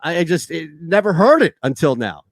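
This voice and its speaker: A man hosting a sports talk show, animated and jokey in casual banter. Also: fairly high pitch, a little fast, dry recording. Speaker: host.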